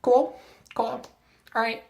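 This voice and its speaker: Young woman vlogger, a touch high-pitched, chatty and casual, giving upbeat tips. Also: fairly even intonation, extremely slow, gravelly, mellow. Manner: chatty, lots of "like" fillers, upbeat advice-giving. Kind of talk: casual to-camera vlog.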